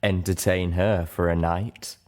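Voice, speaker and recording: Guy doing a lady unicorn dj from a story, smooth and confiding, bit of a flirt. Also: sits low, flat delivery, measured pace, darkish tone. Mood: purring, flirty. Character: grey female unicorn radio DJ, confiding and flirtatious.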